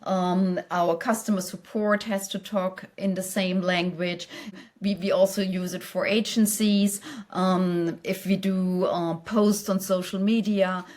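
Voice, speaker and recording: A woman's voice: medium pitch, little pitch movement, measured pace, darkish tone. A woman who speaks English as a non-native language, answering conversationally as a company executive in a podcast interview. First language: not English.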